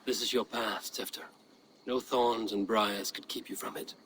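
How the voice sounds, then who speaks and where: A man's voice: on the low side, steady tone, moderate pace, a bit bright, slight background noise, lightly acted. A man playing a stoic, steadfast Viking warrior in a game cutscene, speaking in a terse, grave manner. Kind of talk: dramatic game cutscene acting.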